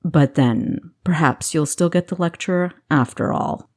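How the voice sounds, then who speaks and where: A woman's voice: deep voice, a little slow. A woman voicing a stern, dry-witted male dungeon keeper in a fantasy story, commanding in manner. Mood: dry, teasing.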